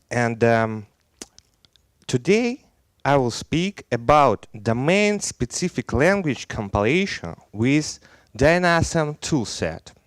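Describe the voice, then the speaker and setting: A man in his thirties from Belarus with a Belarusian accent, giving a technical conference talk in non-native English, halting and full of fillers, on a headset mic on stage. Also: mid-range voice, big pitch swings, dry recording. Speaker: conference speaker.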